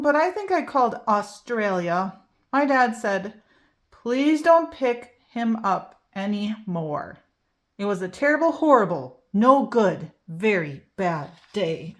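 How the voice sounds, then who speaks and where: A woman's voice: medium pitch, a bit bright. A woman reading a children's picture book aloud expressively, drawing out key words for emphasis. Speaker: storybook reader.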